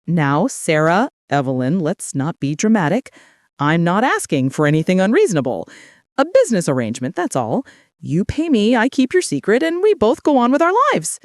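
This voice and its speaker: A woman voicing a sly, smug older man who blackmails with smooth, mocking calm. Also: fairly low pitch, normal speed, no room sound, studio quality. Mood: placating.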